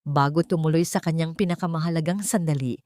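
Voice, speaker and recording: A woman narrating a scripted emotional story in a slow, solemn, sentimental manner. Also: low-pitched, steady tone, a little mellow, dry recording, studio quality.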